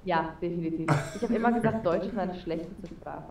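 A woman's voice: lowish voice, brisk, dark tone, reverberant, distant mic, slight background noise. A young woman chatting as an interview guest over a video call with a headset, talking casually with lots of fillers. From Spain. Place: home room with neon sign, indoors.

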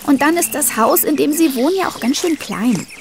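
An actress playing a chatty, expressive teenage girl in a German children's audio drama. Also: sits high, fairly quick, vocal fry, background noise. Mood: frustrated.

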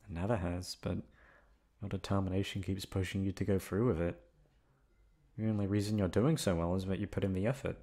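A man playing a low-voiced young delinquent guy. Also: fairly even intonation, brisk, mellow. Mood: tender, concerned. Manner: casual, foul-mouthed, conversational. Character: protective young male delinquent student, gruff but affectionate. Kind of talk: intimate ASMR roleplay acting.

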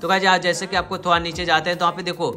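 A man's voice: sits high, little pitch movement, racing, bright, forceful tone. A young man narrating a step-by-step tutorial, addressing viewers directly and repeating himself. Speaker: tech tutorial YouTuber.

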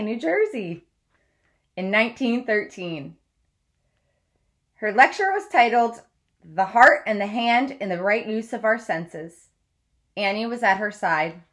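A woman in her thirties reading a book aloud like a teacher, in a measured, deliberate way with clear phrasing. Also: on the high side, sing-song, fairly bright tone. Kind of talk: read-aloud of a children's biography. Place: small room at home, indoors.

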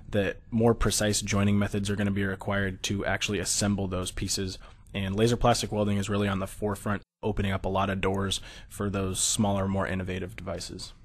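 An American man narrating a technical slideshow, explaining steadily with occasional fillers. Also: lowish voice. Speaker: product presenter.

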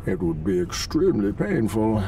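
An actor playing a huge, calmly menacing masked mercenary in a film, his voice filtered by a mask. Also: on the low side, moderate pace, distant mic, background noise. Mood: calm menace. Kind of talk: film villain acting.

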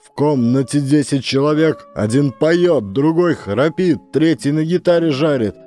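A man playing an irate, blustering father, head of the family, indignant and loud in a satirical audio play. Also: on the high side, lively intonation, unhurried, faint music behind, voice pushed up. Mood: furious. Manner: shouting, indignant.

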